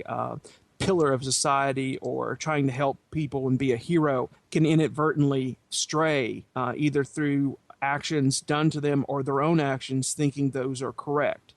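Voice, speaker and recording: A man speaking as a podcast panelist, explaining in a measured, philosophical way in a casual discussion. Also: mid-range voice, no echo.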